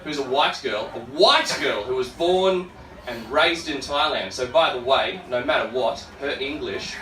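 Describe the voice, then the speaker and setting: A man's voice: mid-range voice, measured pace, sharp tone. A man from Australia with an Australian accent doing stand-up comedy to an audience, informal and sweary, chatting with the crowd in asides. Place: live comedy venue with an audience. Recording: echoey, noisy room, distant microphone.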